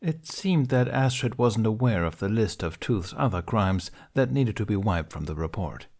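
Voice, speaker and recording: A man's voice: lowish voice, lively intonation, moderate pace, darkish tone. A man reading scripted fiction aloud as an amateur audiobook narrator.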